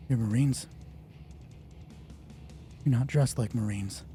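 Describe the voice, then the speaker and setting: A man voicing a wary, balding scientist in a roleplay game, defiant yet resigned, speaking low and quietly. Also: slow pace, faint music behind, natural voice. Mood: suspicious.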